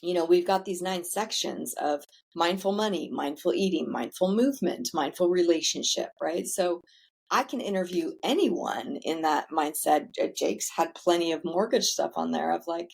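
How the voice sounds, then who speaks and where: A woman's voice: on the low side, moderate pace, creaky, slightly rough. A woman guest on a casual interview, talking candidly about running a self-care center, chatty and swearing casually.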